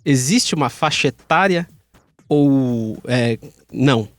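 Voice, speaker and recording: A man's voice: medium pitch, lively intonation, takes it slowly, no room sound, faint music behind. A Brazilian man chatting informally and raising questions as a podcast panelist. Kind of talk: casual chat.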